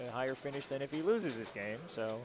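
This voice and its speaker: A man from Rochester giving live pinball tournament commentary, calmly explaining the rules and scoring of each shot. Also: mid-range voice, a little fast. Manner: explanatory, rule-focused play-by-play. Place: tournament hall. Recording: noisy crowd in the background.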